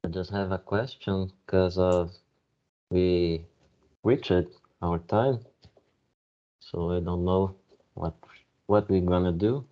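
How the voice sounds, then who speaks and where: Slow man with a very low voice. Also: steady tone, dull audio. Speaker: participant.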